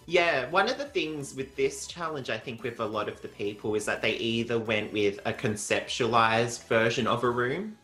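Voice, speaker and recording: A man's voice: medium pitch, pushed and bright. A man, hosting a casual, upbeat craft recap chat. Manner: upbeat, chatty, quick with occasional whoops. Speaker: host. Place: bright craft-decorated studio set. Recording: roomy studio with faint music.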